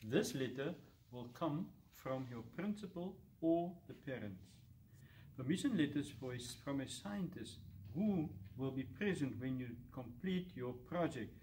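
A man's voice: mid-range voice, animated, measured pace, darkish tone, a little hiss or hum. A middle-aged man giving scripted instructions as a science fair presenter, recorded on a distant camera microphone. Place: classroom or lab with display boards, indoors.

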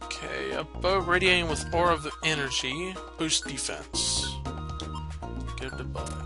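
A man's voice: on the high side, wildly swooping pitch, a little slow, vocal fry. A man giving casual, irreverent let's play commentary, reading game dialogue aloud with playful asides. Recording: game music behind the voice. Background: video game music.

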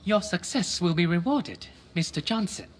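Wary Triad interpreter type for a game: terse and formal, stern, lively pitch movement, clearly noisy recording. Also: male voice, normal speed. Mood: grave, formal. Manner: terse, formal, clipped sentences. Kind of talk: video game cutscene acting. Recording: noisy game audio, ambience.

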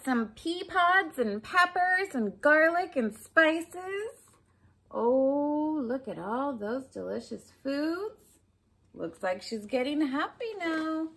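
High, animated woman reading to children. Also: slow pace. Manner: expressive, addressing young listeners with questions and asides. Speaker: storybook reader for children. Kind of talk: children's picture book read-aloud. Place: small furnished room at home, indoors.

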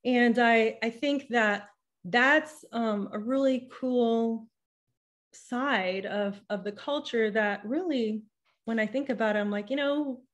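A woman's voice: fairly high pitch, takes it slowly. Thoughtful young woman on a podcast mic. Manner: thoughtful, restarts often, animated with hand gestures. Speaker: podcast guest. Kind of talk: casual interview conversation. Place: home room with bookshelf and patterned wall hanging. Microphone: studio microphone on a boom arm.

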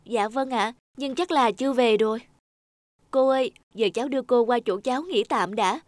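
A woman's voice: a little high, moderate pace, no room sound, studio quality, natural voice. A woman playing a helpful young office worker in a cartoon, speaking politely and attentively with care. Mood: caring. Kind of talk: cartoon dialogue acting.

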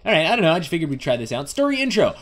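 Young male gaming host: playful, rambling Let's Play commentary with a lively, swinging pitch. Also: racing. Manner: playful, rambling, talks to game characters and himself. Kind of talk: casual Let's Play commentary on an adventure game.

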